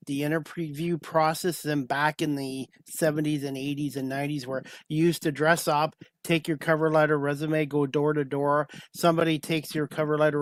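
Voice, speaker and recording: A man's voice: on the high side, dry recording. A man hosting a talk show, asking his guest straightforward, open questions. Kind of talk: interview questions.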